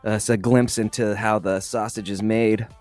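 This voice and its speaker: A man's voice: on the low side, flat delivery, normal speed, a little mellow, soft background music. A man co-hosting a podcast, chatting loosely with a friend, swearing and joking. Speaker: podcast co-host, author. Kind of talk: casual banter. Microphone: studio mic.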